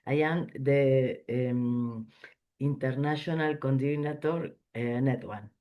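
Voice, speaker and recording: Slow, hesitant woman from Argentina. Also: rumbling low, highs cut off. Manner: hesitant, searching for words. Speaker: international coordinator. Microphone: video call.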